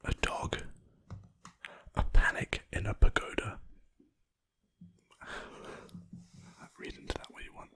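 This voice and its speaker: An ASMR creator speaking in a soft, unvoiced whisper close to a sensitive mic, rambling and poking fun at themself while reading lines aloud. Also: slow pace, pauses often.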